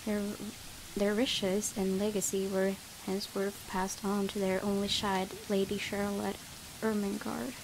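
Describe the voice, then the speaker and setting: A woman's voice: mid-range voice, flat delivery, unhurried, noisy background. A woman who is not a native English speaker, hosting a let's play and reading game text aloud. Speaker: gaming video host.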